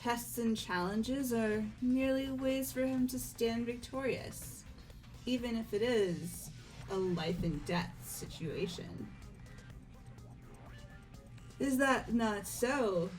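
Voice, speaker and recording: A woman voicing a refined, calmly competitive young lady in a fan-voiced game, with measured, polite and precise speech. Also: on the high side, soft background music, poor audio. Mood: composed, knowing. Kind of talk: amateur voiced video game playthrough.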